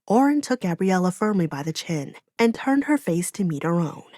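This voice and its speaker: A woman's voice: on the low side, very animated, normal speed, warm tone, no echo, studio quality. A woman reading a fantasy audiobook as narrator, in dramatic, solemn storytelling.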